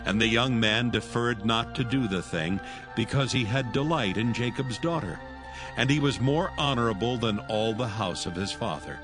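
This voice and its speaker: A man's voice: medium pitch, expressive, moderate pace. Solemn male scripture narrator over music.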